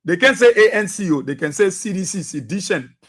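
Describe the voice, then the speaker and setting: A Liberian man hosts a radio talk show in a fairly high voice. He talks in repetitive, exhorting runs, filled with fillers and appeals to the listeners. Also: lively intonation, fairly quick. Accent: Liberian English. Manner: low and subdued for birthday greetings, then loud and preachy.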